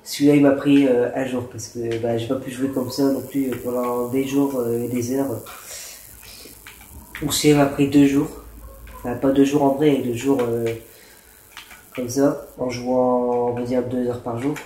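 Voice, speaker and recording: A man talking through a cold and sore throat as he walks viewers through his game builds. His voice is mellow and stays level, and his explanations wander with lots of hesitant fillers. The audio sounds roomy, with a faint hiss underneath. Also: medium pitch, flat delivery, a little slow. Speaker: gaming channel host. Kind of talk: casual gameplay commentary and building tutorial. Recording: roomy echo with faint noise.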